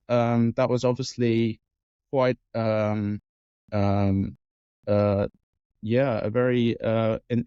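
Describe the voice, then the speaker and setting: A man's voice: medium pitch, dark tone, clean tone, no echo. A man in his forties from Wales, a neuroscientist answering interview questions thoughtfully, with many fillers and restarts. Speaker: guest neuroscientist. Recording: dull lo-fi audio.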